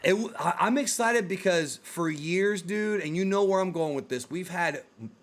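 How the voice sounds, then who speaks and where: A man hosting a casual discussion, talking in an animated, slangy way like an excited fan. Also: high voice, normal speed. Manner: animated, slangy, addresses co-host as dude and yo. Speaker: host of a video game movie discussion show.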